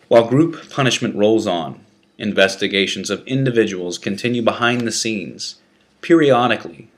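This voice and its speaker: A man with a low-pitched voice reads a first-person prison memoir aloud. His delivery is deliberate and dramatic, like a narrator working from a script. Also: a bit bright. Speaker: narrator reading an article.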